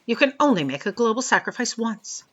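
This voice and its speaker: Imperious, bright-voiced lady boss. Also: a little high, very animated, brisk, highs cut off. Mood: exasperated. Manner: imperious, dismissive. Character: bossy, pompous female boss of Destiny's bureau. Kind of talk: comedic audio drama acting.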